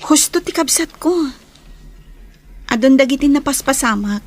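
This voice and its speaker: A very high voice with an Ilocano Filipino accent , a woman acting as a young child. The child speaks earnestly in an audio drama. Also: expressive, normal speed. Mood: earnest, pleading.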